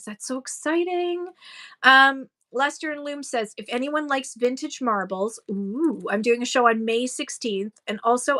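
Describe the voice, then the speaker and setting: Bright upbeat Canadian woman host. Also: on the high side. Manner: upbeat, reads viewer comments aloud, frequent ums. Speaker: livestream seller host. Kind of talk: casual livestream chat reading comments. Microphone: phone.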